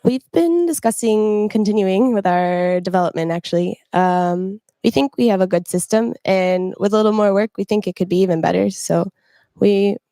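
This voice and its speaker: A young woman answers questions after her team's presentation, hesitant and full of fillers. Her voice is mellow and close, with a dry sound. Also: mid-range voice, no room sound. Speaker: student team presenter.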